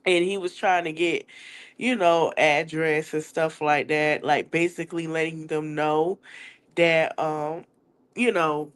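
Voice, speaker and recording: A woman podcast host with a stuffy nose, recapping a trial in casual chat and calling her viewers y'all. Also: on the low side. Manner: chatty, drawn-out, addresses viewers as y'all.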